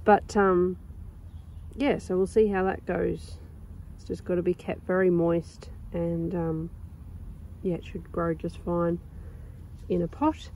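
A young woman with a croaky sore throat, chatting casually and rambling with lots of ums while filming her garden outdoors on a phone. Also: on the low side, flat delivery, takes it slowly, slight background noise, rough recording. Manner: rambling, chatty, with many ums and soft laughs. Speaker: gardening vlogger. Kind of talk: casual chat.

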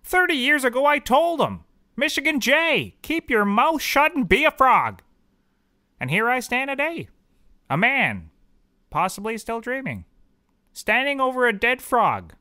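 An actor playing a dazed, bumbling cheap private detective in a scripted comedy audio drama, rambling in a deadpan way. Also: high voice, unhurried, no room sound, studio quality, full character voice. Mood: unrepentant, deadpan.

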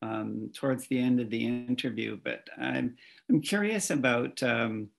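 An older man interviewing an author over a headset from a home study, halting with stammered restarts as he shapes his questions. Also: mid-range voice, vocal fry.

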